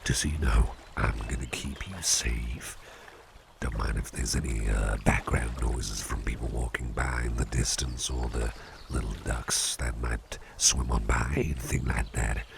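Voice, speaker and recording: A man playing a calm, caring, playful businessman boss in a slow, intimate ASMR roleplay, speaking in a soothing, gravelly, very deep murmur. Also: noisy background, heavily acted. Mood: reassuring. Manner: soothing, unhurried, repeats short phrases.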